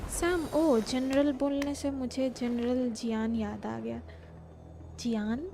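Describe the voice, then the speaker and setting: A woman's voice: high voice, fairly even intonation, rapid-fire, creaky, mellow. A woman with an Indian accent chatting casually as a game livestreamer. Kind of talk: casual stream chat.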